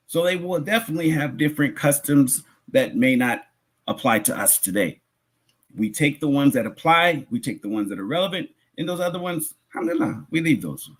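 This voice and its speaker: Male preacher, Islamic lecture, husky voice, lively swooping intonation, explanatory, emphatic repetition, rhetorical asides. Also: fairly high pitch. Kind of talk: religious lecture, teaching.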